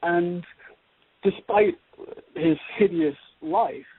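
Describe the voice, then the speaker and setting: A man with a fairly high, raspy voice gives astrology commentary as a co-host. He speaks haltingly and deliberately, building his points piece by piece. The sound is narrow, like a telephone line. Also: no room sound. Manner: halting, deliberate, repeats and pieces phrases together. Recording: tinny, phone-like radio-show audio.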